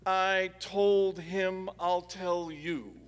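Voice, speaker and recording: A man's voice: high-pitched, fairly even intonation, very slow, clean tone. A man playing a noble, urgent masked hero in a live Western radio play, speaking formally and firmly in an echoey hall. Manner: formal, declamatory, earnest. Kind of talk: live Old West radio play acting.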